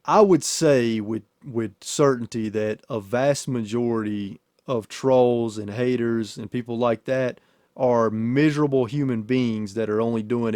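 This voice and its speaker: A man's voice: medium pitch, darkish tone, dry recording, studio quality. A man hosting a podcast in a casual, profane, storytelling chat style. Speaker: podcast host and YouTuber.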